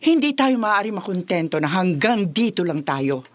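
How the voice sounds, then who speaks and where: An older mother-in-law in a radio drama. She is bossy and proud, talks on and on, and her voice rises and falls in lively swoops. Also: on the low side, moderate pace, dry recording. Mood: lofty, urging. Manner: chatty, bossy, exclamatory. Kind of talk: Tagalog radio drama acting.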